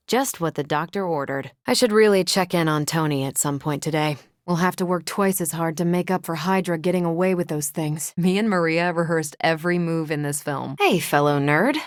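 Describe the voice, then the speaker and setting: Actress as low-voiced, breezy superhero woman. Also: dry recording, natural voice. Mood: casual, teasing. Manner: breezy, dry, teasing, self-assured. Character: confident, wry, friendly half-alien superhero woman soldier. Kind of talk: video game voice acting, conversational.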